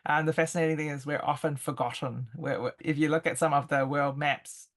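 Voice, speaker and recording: A woman with a New Zealand accent talks in a conversational interview. She is reflective and picks through her thoughts in halting phrases, explaining as she goes. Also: sits low, steady tone. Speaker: interview guest, intercultural expert.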